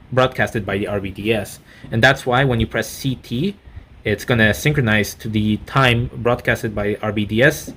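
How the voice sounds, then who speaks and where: A man giving an unscripted product review in a halting, rambling way, often correcting himself mid-sentence. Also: lowish voice, fairly bright tone, a little hiss or hum. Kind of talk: unscripted gadget demonstration.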